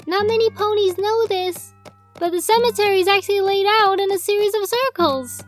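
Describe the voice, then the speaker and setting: A very high, childlike voice of a ghostly young filly, as a woman puts her on, sweet and secretive in a horror storybook reading. Faint music plays underneath. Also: normal speed. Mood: eerie sweet.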